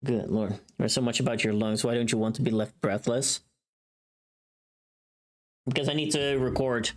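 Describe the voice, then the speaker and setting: A woman's voice: extremely low pitch, steady tone, normal speed. A woman chatting casually on a livestream in a playful, teasing manner, swearing now and then. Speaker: streamer.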